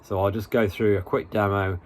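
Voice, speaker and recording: A man presents a relaxed, informal tutorial in a fairly low voice. He talks colloquially and haltingly, feeling out his explanation as he demonstrates. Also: a little hiss or hum. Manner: laid-back, halting, colloquial. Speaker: tutorial host.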